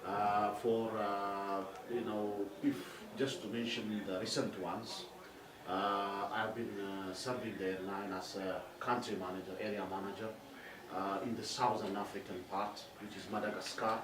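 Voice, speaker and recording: A man in his forties from Ethiopia, an airline regional director answering interview questions haltingly with many fillers and restarts. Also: fairly low pitch, flat delivery. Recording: distant roomy mic with background noise.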